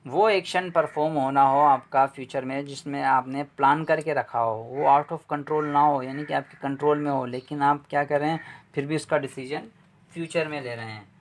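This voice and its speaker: A man's voice: fairly high pitch, fairly even intonation, moderate pace. A man teaching English grammar in a lecture, explaining patiently, repeating points and asking rhetorical questions.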